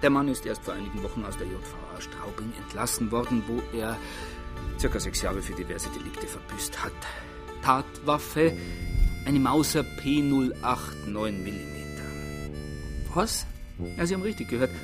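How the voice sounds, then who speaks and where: A man playing a brisk chief of detectives in a radio drama, with a dry, formal, officious manner. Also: mid-range voice, expressive, over music, close to an everyday voice. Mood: officious, sardonic. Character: brisk, dryly sarcastic male chief of detectives.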